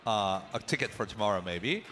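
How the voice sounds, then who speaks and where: A man co-hosting live esports commentary, relaxed and prompting his partner with questions. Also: on the low side, fairly quick.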